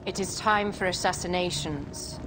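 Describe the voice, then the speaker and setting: A woman's voice: lowish voice, normal speed, loud music behind the voice, close to an everyday voice, rough recording. An actress playing a regal exiled queen in a game cutscene, languid and commanding with a teasing edge. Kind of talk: video game cutscene acting. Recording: game audio with clear background noise.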